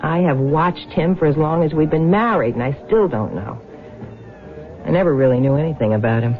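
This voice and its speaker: An actress playing a greedy, scheming wife with a sly, slangy, drawling manner in a 1970s radio drama. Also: female voice, deep voice, a little fast, phone-quality sound, soft background music, close to an everyday voice. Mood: greedy, calculating. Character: greedy, scheming, unfaithful wife, hard-boiled and brassy. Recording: thin old radio broadcast.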